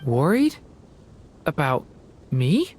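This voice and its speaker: Soft, hesitant, lowish-pitched young boy. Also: big pitch swings, slight background noise, full character voice. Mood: timid. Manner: hesitant, halting, earnest. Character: gentle, anxious young boy, earnest and apologetic. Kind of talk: video game voice acting.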